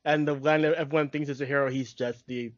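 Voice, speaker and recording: A man's voice: a little high, fairly even intonation, fast talker, no echo, highs cut off. A man co-hosting a podcast, introducing cartoon episodes in casual chat. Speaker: podcast co-host.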